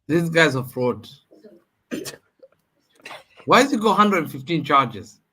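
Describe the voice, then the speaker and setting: A man's voice: on the high side, very animated, slow pace, sharp tone, slightly rough, poor audio. A man, probably in his thirties, arguing emphatically as a guest in a heated football debate on a livestream, often repeating phrases for emphasis.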